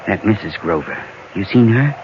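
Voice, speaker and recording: An actor playing a superstitious, folksy frontier customer with a rural Western drawl, giving ominous warnings in an old radio Western. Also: male voice, fairly low pitch, animated, moderate pace, close to an everyday voice. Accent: American rural Western drawl. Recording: noisy, muffled old radio recording.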